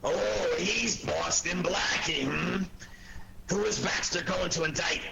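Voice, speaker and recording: An actor playing a blustery, irritable police inspector with an American accent and a gruff, gravelly, bossy delivery in a 1940s radio drama. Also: male voice, sits high, slow, muffled recording, exaggerated voice. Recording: noisy 1940s radio recording.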